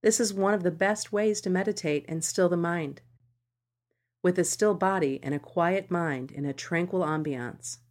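Low-pitched woman narrating a meditation podcast. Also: normal speed, darkish tone. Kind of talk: scripted narration of a meditation article.

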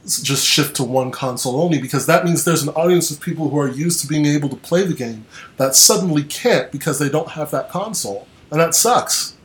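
A man in his twenties hosting a casual gaming podcast, chatty and quick to laugh, trailing off with ums. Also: on the high side, animated. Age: 20s. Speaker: podcast host.

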